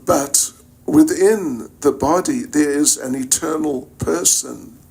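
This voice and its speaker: An older man from New Zealand, with that accent, lectures on spiritual matters in a husky, slightly high voice. He moves slowly and haltingly, reflecting as he goes and addressing his listeners conversationally. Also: a little mellow, low-quality recording. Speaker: spiritual teacher giving a lecture. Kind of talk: informal religious lecture to an audience.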